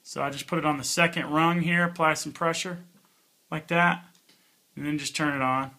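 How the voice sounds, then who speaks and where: Bright-voiced man doing a casual gear review. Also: a little high, fairly even intonation, normal speed. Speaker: product reviewer, channel host.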